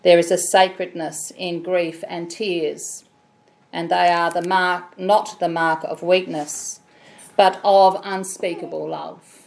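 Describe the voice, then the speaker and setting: An Australian woman with a loud voice, leading a funeral service as celebrant in a measured, solemn way. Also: fairly low pitch, level tone, vocal fry, slight background noise. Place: funeral chapel.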